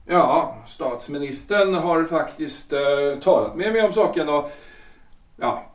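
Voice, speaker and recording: An actor playing an anxious, self-important lawyer husband in his forties in a period audio drama, halting and repeating himself. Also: a little high, animated, far from the mic, natural voice. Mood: self-assured, halting. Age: 40s. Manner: hesitant, halting, repeating words and phrases. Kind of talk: period stage-play audio drama acting. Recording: roomy echo, off the mic.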